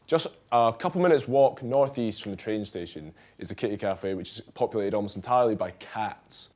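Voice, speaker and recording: Young male student reporter, quick easy delivery, lower voice. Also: a little mellow. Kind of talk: casual presenting.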